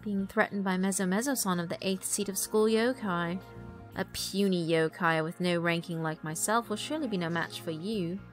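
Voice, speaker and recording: A woman voicing a courteous, sly gentleman spirit with a polite, measured, softly menacing manner in a horror visual novel. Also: fairly low pitch, faint music behind, natural voice. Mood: suave menace. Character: courteous, sly gentleman yokai who brews tea from souls.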